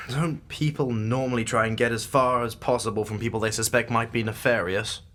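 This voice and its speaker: An actor playing a brusque, secretive agent in an audio drama, speaking in a clipped, formal, scornful manner. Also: male voice, mid-range voice, steady tone, normal speed, a bit bright, lightly acted. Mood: exasperated. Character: brusque, secretive male agent, impatient and dismissive.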